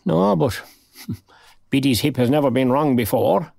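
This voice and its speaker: A man's voice: mid-range voice, animated, a little slow, creaky, darkish tone, dry recording, clean studio sound. An actor with an Irish Cork accent playing a mild, dignified retired man in a naturalistic audio drama. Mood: mild, matter-of-fact. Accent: Irish, County Cork. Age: older.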